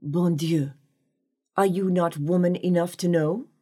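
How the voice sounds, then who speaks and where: Woman voicing a low, commanding desert sheikh. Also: slightly rough. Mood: heated, mocking. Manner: calm, slow, imperious. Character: commanding, scornful desert sheikh, a menacing seductive man.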